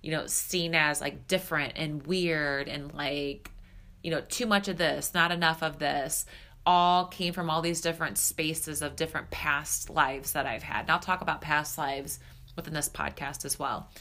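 A woman's voice: low voice, steady tone, normal speed, sharp tone. Stuffed-up chatty woman podcaster. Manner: chatty, candid, filler-heavy. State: stuffed up, slightly nasal. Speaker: podcast host and spiritual coach. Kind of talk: solo podcast monologue.

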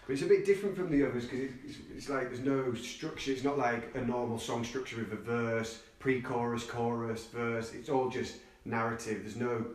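A man's voice: medium pitch, steady tone, moderate pace, hardly any pauses, reverberant, recorded from a distance. A man chatting casually and laid-back, nasal and congested with a cold, swearing now and then. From England. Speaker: singer-songwriter guest. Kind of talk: casual chat.

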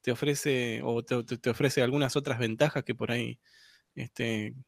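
A man's voice: medium pitch, fairly even intonation, moderate pace, gravelly, no echo, studio quality. A man hosting a podcast, talking loosely with many filler words. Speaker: podcast host. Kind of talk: casual podcast interview.

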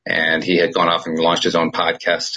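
A man's voice: deep voice, monotone, moderate pace, bright, forceful tone, slightly rough, low-quality recording. A man hosting a podcast, chatting casually in a rambling, self-deprecating way and often repeating his words. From United States. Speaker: podcast host. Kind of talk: casual chat.

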